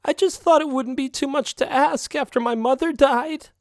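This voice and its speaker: A man putting on a demanding young female alley cat from a storybook, pitched far up and whiny, her voice swinging wildly as she wheedles and sobs. The sound is close and dry. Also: sing-song, moderate pace, no echo. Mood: tearful. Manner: whiny and manipulative, breaking into sobs.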